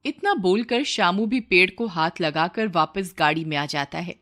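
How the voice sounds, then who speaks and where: A woman's voice: lowish voice, normal speed, fairly bright tone. Woman narrating a moral tale. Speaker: storyteller. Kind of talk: scripted moral story narration.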